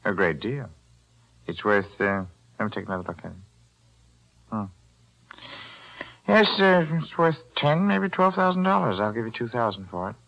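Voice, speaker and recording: An actor playing a shrewd, greedy older pawnbroker in a 1940s radio drama, smug and coolly bargaining. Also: male voice, lowish voice, very animated, normal speed, creaky, long pauses, muffled recording. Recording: vintage 1940s radio broadcast.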